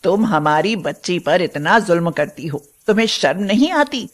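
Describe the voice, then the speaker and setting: A woman's voice: lowish voice, very animated, normal speed. Woman playing an emphatic middle-aged mother. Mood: indignant. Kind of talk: melodramatic moral story voice acting.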